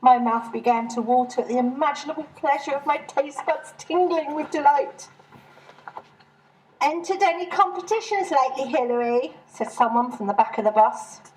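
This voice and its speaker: A woman's voice: high voice, moderate pace, few pauses, a little mellow, poor audio. A woman reading her own humorous short story as a first-person narrator.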